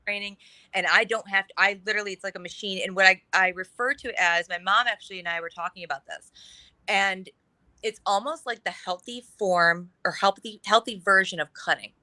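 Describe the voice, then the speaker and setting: A woman's voice: medium pitch, brisk, pushed and bright, no room sound, rough recording. A middle-aged woman speaking as a guest in a casual talk-show conversation, candid and emphatic, running her thoughts together in long stretches.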